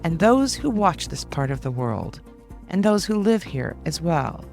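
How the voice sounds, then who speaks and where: Measured, lower-voiced woman hosting. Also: very animated, gravelly, a little mellow, faint music behind. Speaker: podcast host and interviewer. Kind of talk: scripted introduction and formal interview questions.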